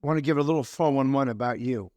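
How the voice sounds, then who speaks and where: A man's voice: medium pitch, quick pace, warm tone, no echo. A man co-hosting a podcast in casual chat, easygoing and a bit rambling. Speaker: podcast co-host.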